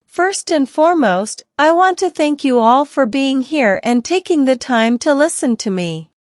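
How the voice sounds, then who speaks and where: Woman teacher reading a practice speech, high bouncy voice, dry close mic. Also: expressive, a little mellow, no echo. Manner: clear, deliberate, evenly paced delivery. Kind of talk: slow scripted reading for repetition practice.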